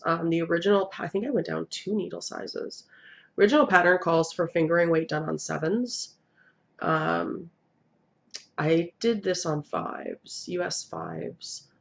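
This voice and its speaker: A woman's voice: lowish voice, gravelly. A woman in her thirties hosting a knitting video podcast from her couch, talking casually with lots of ums, sniffly from a cold. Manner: rambling, hesitant with many ums, self-deprecating. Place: living room, seated on a couch indoors. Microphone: webcam at desk distance.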